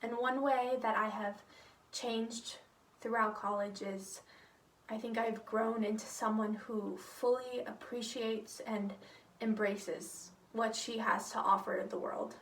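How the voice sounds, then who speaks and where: A poised young woman of twenty-one introduces herself articulately. Her voice sits a little high, and faint room ambience surrounds her. She is heard in an echoey space from a distance. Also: fairly even intonation, slow pace, slight background noise. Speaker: theater student.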